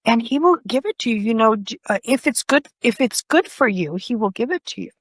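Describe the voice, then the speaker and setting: A woman's voice: a little high, expressive, brisk, no echo. An older woman whose first language is Greek, talking as a podcast guest in a rambling way full of "you know" fillers. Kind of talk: conversational interview.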